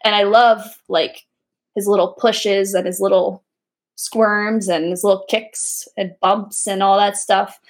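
A woman's voice: mid-range voice, little pitch movement, a little slow. A young woman co-hosting a casual podcast, chatting, laughing often and using many fillers. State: pregnant. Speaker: podcast co-host. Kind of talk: casual chat.

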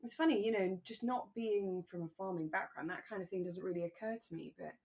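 A British woman co-hosting a podcast in relaxed, casual chat, chatty and self-deprecating. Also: lowish voice, brisk. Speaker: podcast co-host. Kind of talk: relaxed casual chat about a radio drama.

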